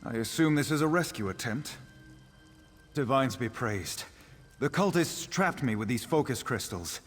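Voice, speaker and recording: A resolute, courteous nobleman and hobby mage in a fantasy game. He speaks with composure at a middle pitch. The voice rings with long reverb over music. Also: lively intonation, measured pace, slightly rough. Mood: guarded, grateful. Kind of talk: fantasy video game dialogue.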